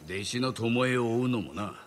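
An actor playing a sceptical older samurai master, grave, dry and curt. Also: male voice, mid-range voice, lively intonation, moderate pace, a little hiss or hum, natural voice. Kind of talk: dramatic game dialogue.

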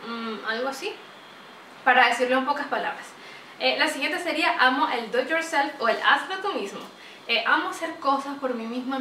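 Bright chatty young Venezuelan woman. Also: a little high, distant mic, slight background noise. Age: young adult. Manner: chatty, animated, gestures a lot. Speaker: vlogger. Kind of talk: casual chat to camera. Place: small bright room indoors. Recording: roomy echo, voice a bit off-mic.

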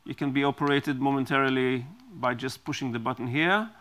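A middle-aged man explaining and demonstrating a device he designed, speaking haltingly with many ums and restarts, in a small studio on a lapel mic. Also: mid-range voice, fairly even intonation, fairly bright tone. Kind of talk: product demonstration.

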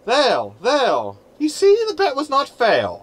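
Man acting high-voiced, wry shipboard hologram. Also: huge pitch swings, slow, fairly bright tone, full character voice. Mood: sardonic. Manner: dry, sarcastic. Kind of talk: science fiction comedy audio drama acting.

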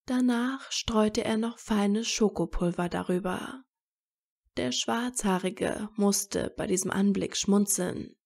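A woman narrating scripted fan fiction as a story, in a measured storytelling manner. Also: medium pitch, creaky, darkish tone.

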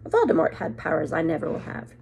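A woman voicing a calm, whimsical old male wizard in a storybook, with a gentle, unhurried manner. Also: sits low, gravelly, a little hiss or hum, exaggerated voice. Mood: modest.

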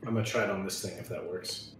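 A man's voice: low-pitched, steady tone, fast, noisy background. A man playing a tabletop roleplaying game, casually describing what his character does. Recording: echoey room, music behind.

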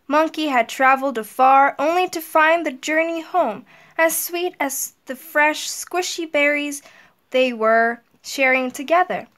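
High-pitched woman, talking to children. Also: fairly bright tone. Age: middle-aged. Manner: deliberate, simple explaining to children. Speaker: elementary guidance counselor. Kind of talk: children's storybook read-aloud and talk to pupils. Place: indoors, small room at home. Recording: roomy echo, distant mic.

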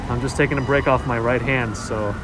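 A man's voice: medium pitch, steady tone, a little fast. Man musing aloud on a noisy street. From Russia. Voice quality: musing, conversational, talks to himself while walking. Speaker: live-streaming walking vlogger. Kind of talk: casual live-stream chat, reading viewer comments aloud. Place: outdoors on a snowy city street. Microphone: phone on a handheld gimbal. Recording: loud street traffic and sirens behind.

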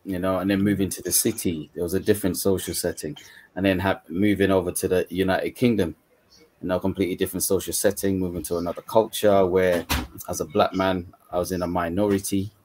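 A man's voice: sits low, flat delivery, brisk, a little mellow, a little hiss or hum. A man from Uganda, a guest mentor, talking casually on a video call from a dim room, with frequent "you know" fillers. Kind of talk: casual panel discussion.

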